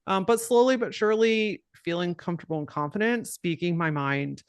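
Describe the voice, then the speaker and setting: A woman with a low voice talking bluntly and directly as a podcast guest, cussing freely and laughing often. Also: moderate pace, clean tone, no echo. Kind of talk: casual podcast conversation.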